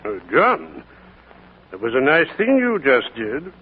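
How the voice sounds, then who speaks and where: An actor playing a kindly older man in a 1940s radio drama, an old friend who gently needles. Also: mid-range voice, huge pitch swings, takes it slowly, exaggerated voice. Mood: kindly needling. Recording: tinny, very noisy 1940s radio broadcast.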